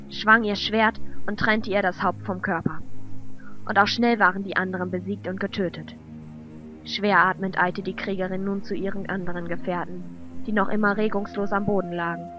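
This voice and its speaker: A woman's voice: medium pitch, highs cut off. Female fantasy narrator over music. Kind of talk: dramatic scripted fantasy narration.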